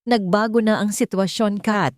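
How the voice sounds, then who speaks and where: A woman's voice: mid-range voice, steady tone, normal speed, dry recording, studio quality, voice pushed up, lightly acted. A woman voicing a desperate, urgent hunted man planning his escape in an audio story. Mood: agitated, fearful.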